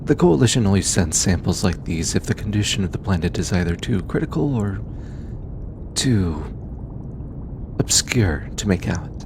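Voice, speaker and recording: A man playing a gentle, earnest alien scientist in an intimate audio drama, bookish and easily flustered, with a hesitant, softly stammering delivery. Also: normal speed, creaky. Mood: earnest, explanatory. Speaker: voice actor. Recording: steady low rumbling background noise.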